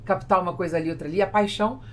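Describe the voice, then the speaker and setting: A woman's voice: on the low side, very fast. A Brazilian woman hosting a podcast, talking casually about business. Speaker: podcast host. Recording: clear background noise, room ambience.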